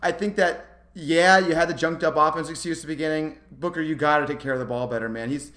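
A man's voice: a little high, steady tone, quick pace, pushed and bright. A man co-hosting a sports podcast, giving casual, emphatic and opinionated analysis in a roomy space. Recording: roomy, echoey sound.

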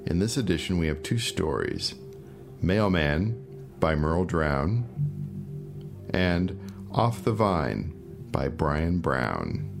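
A man's voice: sits low, big pitch swings, takes it slowly, creaky, long pauses, over music. A man reading scripted announcements as the host of an audio podcast. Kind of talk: scripted narration.

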